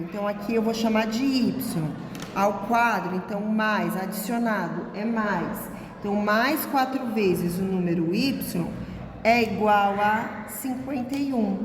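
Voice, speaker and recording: A woman's voice: medium pitch, a little mellow. A female math teacher with a Brazilian Portuguese accent, working methodically through each calculation aloud and checking in with her students as she goes. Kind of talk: recorded math lesson. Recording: echoey room, long reverb, faint noise.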